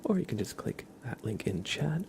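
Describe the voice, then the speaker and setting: A man hosting an ASMR livestream in a soft, hushed whisper and low murmur, gently and unhurriedly talking to his viewers. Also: very animated, normal speed, creaky, a little hiss or hum. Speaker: livestream host.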